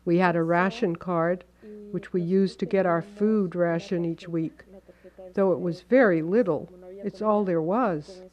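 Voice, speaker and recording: A woman reading a translated first-person account as a measured, earnest voice-over. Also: fairly low pitch, fairly even intonation, a little mellow, muffled recording.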